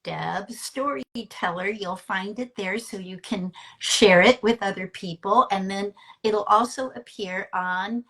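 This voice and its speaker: A woman's voice: medium pitch, a little slow, hardly any pauses, fairly bright tone, poor audio. A woman hosting a live online interview in a conversational style, speaking as a storyteller and speaking coach.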